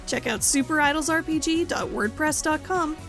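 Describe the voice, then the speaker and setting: High-voiced woman reading a podcast promo. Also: moderate pace, a bit bright. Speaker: promo narrator. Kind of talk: scripted podcast trailer narration. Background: faint music.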